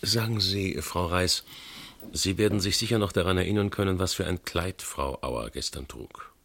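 An actor playing a matter-of-fact police detective in a German radio crime drama, questioning in a clipped, methodical way. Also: male voice, low-pitched, normal speed, gravelly, a bit bright, heavily acted. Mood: probing, matter-of-fact. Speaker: police investigator.

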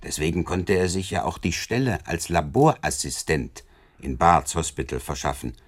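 An actor playing a smug, amused Scotland Yard investigator in a German radio drama, talking in a wry, condescending, self-assured way. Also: male voice, low voice, expressive, moderate pace.

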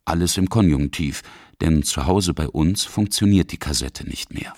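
Deep-voiced man narrating a radio feature. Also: moderate pace, a little mellow, a little hiss or hum. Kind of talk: scripted documentary narration.

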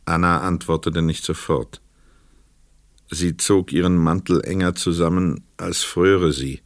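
A man reading scripted literary narration for a radio play in a measured, precise storytelling manner. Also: sits low, steady tone, no echo, clean studio sound.

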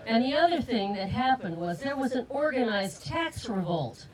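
Lively older American woman at a rally. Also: a little high, moderate pace, low-quality recording. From United States. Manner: chatty, laughing between lines. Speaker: rally speaker. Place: outdoor street rally. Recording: outdoor rally PA, crowd behind.

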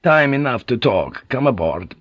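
A swaggering, foul-mouthed sea pilot booms out cheerfully in a pitch pushed well above the reader's own, as a man acts him out. The voice sits dry and close with no room sound. The whole thing comes through a dull, muffled cassette tape. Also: very animated, normal speed, breathy edge, no room sound. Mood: boisterous. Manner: loud, jovial, profane. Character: boisterous, coarse, swaggering male sea pilot.

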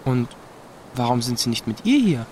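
A man's voice: medium pitch, big pitch swings, normal speed, background noise, natural voice. A young male actor playing a guarded, curious teenage boy in a radio play, talking plainly and directly. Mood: earnest, probing.

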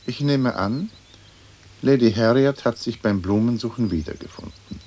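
An actor playing a haughty, sardonic English lord in a radio play, with an aloof, dry, precise way of talking, on an old recording. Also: male voice, mid-range voice, normal speed, darkish tone, a little hiss or hum, close to an everyday voice. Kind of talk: German radio play acting.

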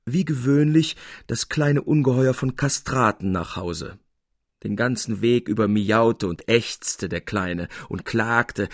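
Male narrator, literary audiobook, expressive scripted reading, mid-pitched, slightly husky, dry recording. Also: no echo.

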